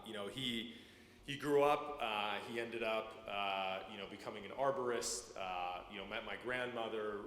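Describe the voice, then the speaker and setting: Halting low-voiced man in echoey church. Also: a bit bright. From Ukraine. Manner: halting, many fillers and repeated words. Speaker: guest speaker. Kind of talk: personal testimony to a church congregation. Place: church sanctuary. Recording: echoey church hall, faint room noise.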